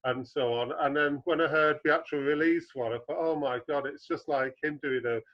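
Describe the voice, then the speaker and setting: A man with a low baritone voice, a guest author in a podcast interview, explaining at length with homely comparisons. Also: brisk, muffled recording.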